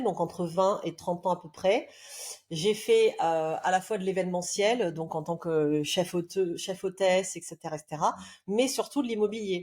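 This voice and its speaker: A woman's voice: fairly low pitch, darkish tone. A woman in her forties from southern France, a love coach guest on a podcast, telling her story in a reflective, earnest way with frequent hesitations. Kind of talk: podcast interview, personal storytelling.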